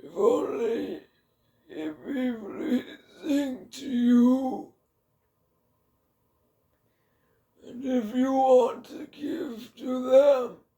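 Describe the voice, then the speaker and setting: A man voicing a frail, mournful old hermit saint in a dramatic book reading, with a quavering, halting, theatrical delivery. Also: very high voice, darkish tone, heavily acted. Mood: bitter, advising. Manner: theatrical, very slow, halting, drawn-out. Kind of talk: dramatic audiobook character reading.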